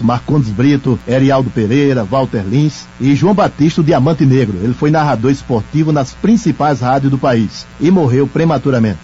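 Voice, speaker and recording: Mellow-voiced man recalling the past over music. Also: mid-range voice. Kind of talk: recollecting narration. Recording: slightly dull radio sound, music behind. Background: background music.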